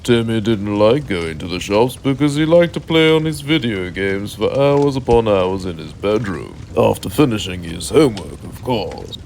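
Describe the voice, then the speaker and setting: British man, bedtime storyteller, avuncular, animated intonation, mid pitch, faint background noise. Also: normal speed, creaky, hardly any pauses. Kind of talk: mock bedtime story narration.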